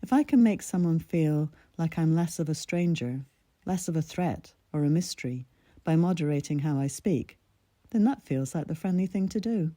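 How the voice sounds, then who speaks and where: A woman in her sixties from Scotland, with a mid-Atlantic accent carrying a Scottish lilt, reading her own personal essay in a measured, reflective way. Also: low voice, animated, dark tone, no room sound, studio quality. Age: 60s.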